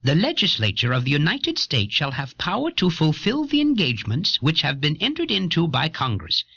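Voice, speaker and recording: An actor playing a formal 18th-century committee member, reading out an official document in dramatised history. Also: male voice, fairly high pitch, sharp tone, breathy edge, no room sound, full character voice.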